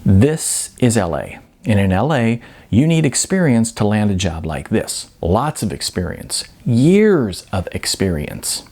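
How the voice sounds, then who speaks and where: Blunt, world-weary restaurant manager, read by a man, lively pitch. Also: measured pace, hardly any pauses, heavily acted. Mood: blunt, patient. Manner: frank, explanatory, emphatic.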